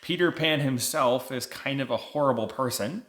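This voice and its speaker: A man's voice: mid-range voice, moderate pace, fairly bright tone. A man giving a casual, conversational book review, explaining things as a vlog co-host.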